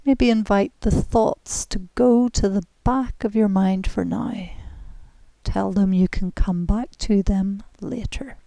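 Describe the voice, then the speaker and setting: A woman guides a relaxation session at a very slow, unhurried pace. Her voice is mellow and soft, turning breathy as phrases trail off. She is gentle and soothing, recorded close and dry. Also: mid-range voice, fairly even intonation, dry recording, rough recording. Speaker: guided relaxation and yoga instructor. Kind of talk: guided relaxation, meditative instruction.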